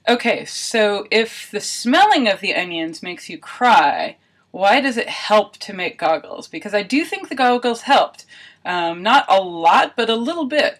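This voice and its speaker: Casual vlogger woman, normal pitch, kinda thinking out loud, wry and self-deprecating. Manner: musing, thinking aloud. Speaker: video blogger. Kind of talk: casual chatty vlog narration of a homemade experiment.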